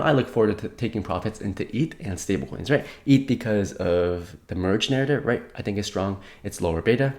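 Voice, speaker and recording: A man's voice: deep voice, a little mellow. A young man giving a casual market update while slightly sick, rambling with many fillers and restarts. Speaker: crypto market commentator.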